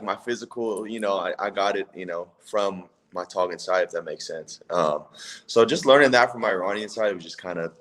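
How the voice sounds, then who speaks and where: A young man from California with a very low, deep voice, speaking as an interview guest. He talks in a relaxed, rambling way, pausing on fillers and often adding "if that makes sense.". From Palo Alto area, California. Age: 20s. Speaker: guest, football player. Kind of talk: casual interview.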